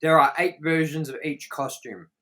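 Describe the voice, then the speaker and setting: A man with a fairly high-pitched voice reads out trivia as a review show host. His delivery is a little halting, and he drops in casual, offhand interjections between the facts. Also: fairly bright tone. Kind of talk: reading out trivia with casual asides.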